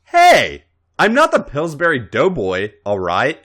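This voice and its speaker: A young man, exasperated yet protective, acted by a male narrator. He chides and grumbles with very lively swings of pitch, as a smirking figure in an audio story. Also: a little slow, full character voice. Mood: grumpy. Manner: chiding, grumbling.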